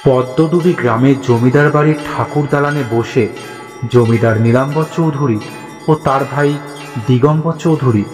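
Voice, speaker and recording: A man narrating a scripted horror story in a dramatic, deliberate way. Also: mid-range voice, a little mellow. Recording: echoey, reverberant, music behind.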